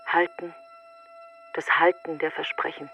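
A woman's voice: low-pitched, little pitch movement, measured pace, bright, forceful tone, soft background music. An actress playing a grieving, self-doubting woman in a literary radio monologue, in short introspective fragments through a narrow filter. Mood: reflective, resigned. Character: grieving woman afraid of not being enough. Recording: thin, phone-like narrow band, very dry.

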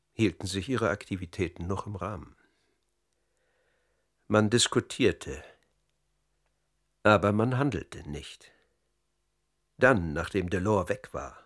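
A precise academy psychologist in his fifties in a radio drama. His voice sits fairly low. He gives his account in measured, formal, explanatory sentences. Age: 50s. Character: Dutch academy psychologist under interrogation, precise and defiant.

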